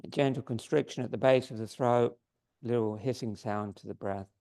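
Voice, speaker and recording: A man's voice: mid-range voice, moderate pace, vocal fry. Man leading a yoga class, voice going. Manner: gives calm, step-by-step posture and breath cues. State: losing his voice. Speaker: yoga teacher. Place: yoga studio.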